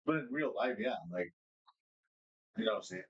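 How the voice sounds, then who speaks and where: A man in a casual podcast chat with a mid-range voice. He's playful and slangy, and his pitch jumps around as he keeps the conversation moving and asks the questions. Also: big pitch swings, normal speed, gravelly, husky, highs cut off. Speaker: podcast co-host.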